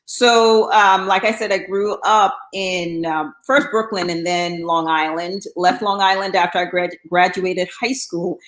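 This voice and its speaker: A woman's voice: on the low side, steady tone, unhurried, few pauses, a bit bright, echoey room. A middle-aged woman from Guyana, talking casually as an interview guest, with frequent fillers and restarts. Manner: chatty, with many um fillers and restarts.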